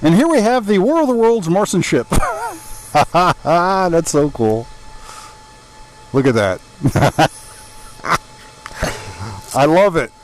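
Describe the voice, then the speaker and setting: A man's voice: high voice, big pitch swings, takes it slowly, long pauses. A man hosting a casual ride vlog, chatty and easily amused, recorded outdoors on the road. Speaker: vlog and podcast host. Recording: noisy outdoor recording with vehicle sounds.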